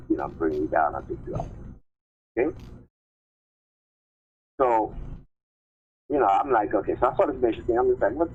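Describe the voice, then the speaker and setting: A man talking casually as a live stream host, insistent, often posing questions and checking in with short tags. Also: lowish voice. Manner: insistent, rhetorical questions and repeated check tags like "right?" and "okay?". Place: remote call-in on a live stream. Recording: muffled phone-line audio with clear noise.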